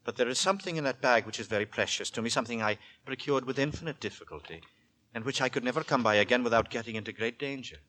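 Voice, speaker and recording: An actor playing a weary, secretive, quietly menacing gentleman lodger in a formal, halting, repetitive manner, in 1940s radio horror style. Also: mid-range voice, lightly acted, rough recording. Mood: intense, distracted. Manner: formal, halting, repeats his words. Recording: vintage 1940s radio broadcast recording.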